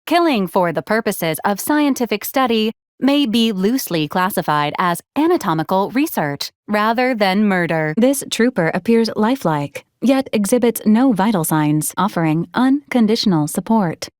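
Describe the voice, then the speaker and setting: Formal, deadpan female robot assistant, played by a woman. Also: mid-range voice, no echo, studio quality, lightly acted. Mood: flat, advisory. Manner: formal, measured, matter-of-fact deadpan. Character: polite, literal-minded female robot companion unit. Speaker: robot assistant advising a commander. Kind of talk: scripted video game character lines.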